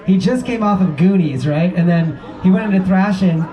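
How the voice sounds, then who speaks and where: A man in his fifties with a high voice, chatting and laughing as he tells a story on a panel. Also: normal speed, dull audio. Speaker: actor on a screening panel. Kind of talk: casual storytelling. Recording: crowd noise behind.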